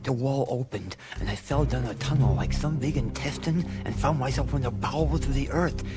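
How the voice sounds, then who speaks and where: A man's voice: medium pitch, moderate pace, natural voice. Guy doing a scared whiny little man in a comedy radio drama, stammery and dramatic, music under it. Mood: halting, awed. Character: nervous, fearful little man, whiny and excitable. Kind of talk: comic radio play acting. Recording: music behind the voice.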